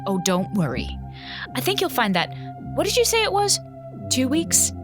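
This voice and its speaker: An actress playing a firm, dry-witted space station commander in an audio drama, authoritative and dryly emphatic. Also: female voice, high voice, wildly swooping pitch, normal speed, over music. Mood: controlled indignation.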